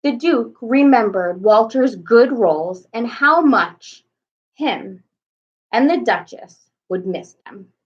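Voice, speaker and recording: A woman's voice: fairly high pitch, lively intonation, slow. A young adult woman reading a children's bedtime story aloud expressively, indoors at home into a webcam. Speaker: storybook reader. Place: small room at home, indoors. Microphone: webcam at arm's length. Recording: slightly dull home webcam sound.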